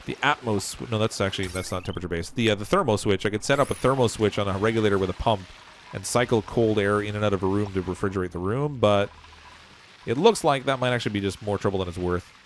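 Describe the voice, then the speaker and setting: A man's voice: on the low side, fast, a little hiss or hum. A man streaming a game, rambling as he thinks aloud and chuckling often, his voice wearing out. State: losing his voice. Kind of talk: casual game livestream commentary.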